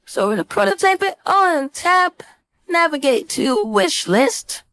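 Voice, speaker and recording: A woman instructor giving a casual screen-share software tutorial, thinking aloud informally as she demonstrates. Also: extremely high pitch, sing-song, unhurried, dry recording. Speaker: design instructor.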